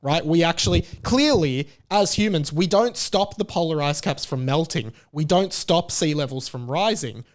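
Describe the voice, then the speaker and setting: A man's voice: on the high side, lively intonation, moderate pace, few pauses. A young man with an Australian accent hosting a podcast, talking in a chatty, jokey, opinionated way. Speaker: podcast host. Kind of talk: casual podcast monologue.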